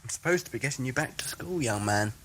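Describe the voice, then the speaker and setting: A man playing a flippant, decisive time-travelling doctor in an audio drama, with a brisk theatrical delivery. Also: medium pitch, animated, a bit bright, a little hiss or hum, close to an everyday voice. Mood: cheerful, brisk. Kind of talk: fan audio drama acting. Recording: music over old cassette recording.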